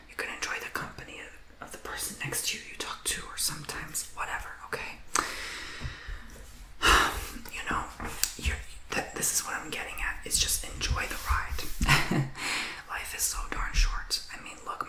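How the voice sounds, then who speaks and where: A man giving a tarot reading in a soft whisper, earnest and emotional, rambling as he encourages the listener. The recording has a roomy echo. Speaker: tarot reader.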